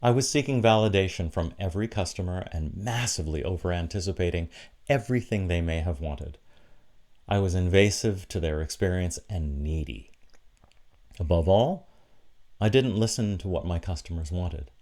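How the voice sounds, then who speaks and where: A man preaching a reflective sermon in a deliberate, encouraging manner, with short emphatic phrases. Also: deep voice, a little mellow. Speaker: preacher giving an online church sermon.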